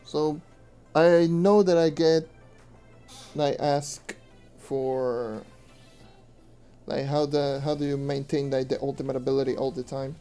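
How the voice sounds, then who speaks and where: A young man streaming a game and answering questions in loose, rambling casual talk, with a hoarse voice he says he is losing. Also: mid-range voice, mellow. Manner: rambling, loose with fillers, mutters to himself. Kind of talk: casual gameplay commentary and Q&A. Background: faint music.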